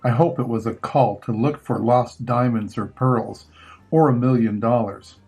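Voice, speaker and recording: An older man reading a children's storybook aloud in short, measured phrases with pauses between them. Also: mid-range voice, steady tone, creaky, a little mellow, husky, low-quality recording. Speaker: grandfather reading picture books aloud.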